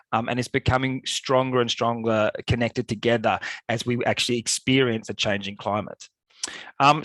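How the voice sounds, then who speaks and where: A middle-aged man with an Australian accent lectures enthusiastically, with frequent fillers and restarts. His voice sits at an ordinary middle pitch. It is recorded close and dry through a computer mic on a video call. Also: fairly bright tone, no echo. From Melbourne, Australia. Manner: enthusiastic, filler-heavy academic delivery. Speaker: guest lecturer, associate professor. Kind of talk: online keynote lecture.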